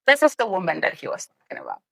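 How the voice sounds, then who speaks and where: A low-pitched woman from Sierra Leone hosts a podcast in relaxed, expressive conversation, laughing along the way. Her voice sounds dry and close, with no room echo. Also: very fast, gravelly. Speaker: podcast host. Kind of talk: casual interview chat. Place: studio in Edmonton, Canada.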